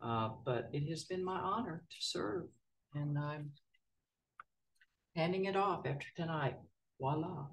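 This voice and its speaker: A board chair running a city meeting in a folksy, relaxed way, with many filler words. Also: mid-range voice, measured pace, long pauses. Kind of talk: formal meeting procedure mixed with casual remarks. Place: city council chamber. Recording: distant mic in a meeting room.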